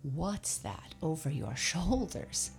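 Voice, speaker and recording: A woman voicing a brisk, kindly old Santa Claus who speaks heartily and gives cheerful commands. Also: deep voice, sing-song, creaky, soft background music, exaggerated voice. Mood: questioning.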